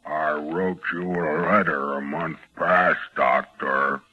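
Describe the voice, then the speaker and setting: An actor playing a huge, half-human backwoods man, menacing and obsessive, with slow rustic speech in a 1940s radio horror drama. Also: very deep voice, fairly even intonation, highs cut off. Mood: brooding, insistent. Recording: band-limited 1940s radio recording with music.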